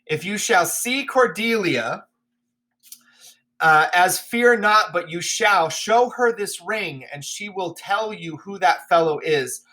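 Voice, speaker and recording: A man's voice: high-pitched, expressive, slow, bright, forceful tone, full character voice, poor audio. A man voicing a loyal nobleman in disguise who gives earnest, urgent instructions.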